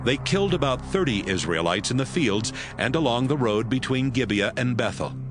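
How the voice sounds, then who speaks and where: A man narrating scripture as a measured, scripted storyteller in an audio Bible. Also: medium pitch, expressive, music in the background.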